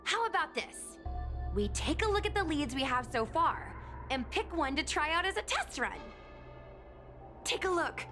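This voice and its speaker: A woman voicing an upbeat, excitable young woman who streams online, chatty and eager in a game cutscene. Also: high-pitched, a little slow, long pauses. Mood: animated. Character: bubbly young woman street performer turned online streamer. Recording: game cutscene with music behind.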